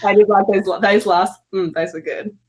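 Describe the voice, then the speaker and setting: A woman speaks informally in a group chat. Her voice sits at a middle pitch and her phrasing is conversational. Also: fairly even intonation, moderate pace, a little mellow, low-quality recording. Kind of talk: casual chat.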